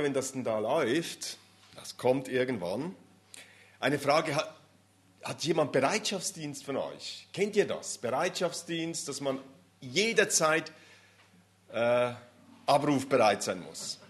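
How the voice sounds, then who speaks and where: A man's voice: medium pitch, big pitch swings, takes it slowly, pauses often. A man preaching an interactive sermon, emphatic and addressing the audience directly.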